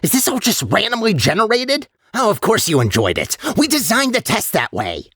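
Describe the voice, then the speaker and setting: A man's voice: high voice, huge pitch swings, normal speed, a bit bright, husky, no echo, studio quality, heavily acted. A man playing a chatty, sardonic and untrustworthy AI guide in a video game, with wry, hesitant conversational asides. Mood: mocking, amused. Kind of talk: video game character voice lines.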